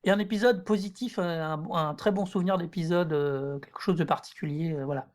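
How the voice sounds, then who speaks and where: A woman with a slightly low voice hosts a podcast. She puts questions and comments in a loose, conversational, unscripted style. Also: a little fast, a little mellow. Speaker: podcast host. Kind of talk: casual interview.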